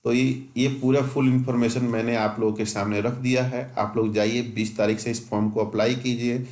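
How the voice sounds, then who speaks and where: A man's voice: medium pitch, flat delivery, normal speed, darkish tone, reverberant. A man who teaches exam preparation, walking through a job notification point by point and correcting himself as he goes. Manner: methodical, reads out rules point by point, mixes English terms; self-corrects with small restarts.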